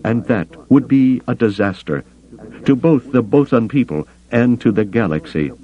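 Male narrator as indignant furry alien diplomat, pitched up, pompous, formal, stiffly dignified, science fiction audiobook. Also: lively intonation, moderate pace, phone-quality sound, close to an everyday voice. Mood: urgent, grave. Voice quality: somewhat melodious.

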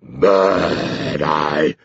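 Talking dog for an audiobook, male reader performing: male, obedient, whining and growly, gravelly, a bit muffled. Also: extremely low pitch, very slow, dry recording, exaggerated voice. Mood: eager, whining.

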